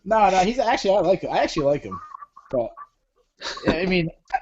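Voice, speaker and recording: A man from the Providence area with a Rhode Island accent, co-hosting a casual sports chat and tripping over his words when he gets excited. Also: sits high. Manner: excitable, restarts words, quick laughs. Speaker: co-host. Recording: dull webcast audio with background noise.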